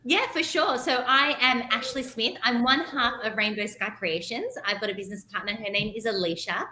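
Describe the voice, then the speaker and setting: A woman's voice: fairly high pitch, moderate pace, bright, forceful tone, echoey room. A woman with an Australian accent, a guest chatting casually and laughing easily. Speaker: guest, teacher resource creator. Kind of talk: casual chat.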